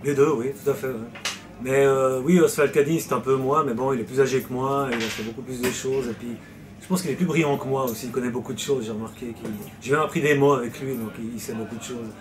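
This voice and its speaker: A man's voice: medium pitch, steady tone, fast talker, a crowd in the background. A man from Switzerland, a novelist answering interview questions in a hesitant way, with many fillers and restarts.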